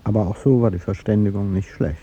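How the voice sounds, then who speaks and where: An actor playing an ageing, courteous, resigned president, hesitant and wistful, in a 1950s radio play. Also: male voice, on the low side, fast talker, warm tone, slightly rough. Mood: wry, uneasy. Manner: hesitant, wistful, murmured replies. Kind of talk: 1950s German radio drama acting. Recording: dull 1950s radio recording, music behind.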